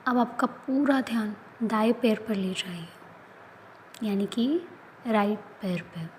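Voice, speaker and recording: A woman leading a guided yoga nidra relaxation, speaking in soft, often whispered instructions. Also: medium pitch, slow, slight background noise. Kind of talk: guided meditation.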